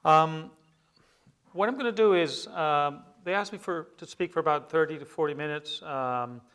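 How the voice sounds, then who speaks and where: A middle-aged man from Peru, a native Spanish speaker, giving a public lecture in a measured, hesitant way with many fillers and restarts. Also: fairly high pitch, fairly bright tone, clean tone. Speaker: guest lecturer. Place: lecture hall with an audience.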